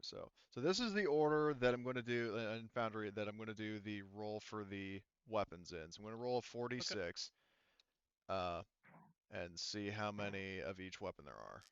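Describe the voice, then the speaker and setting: Male game master, clean smooth tone, halting and self-correcting explanations, tabletop sci-fi session, dry muffled capture. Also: on the low side, lively intonation, no echo. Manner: halting, circles back to rephrase explanations.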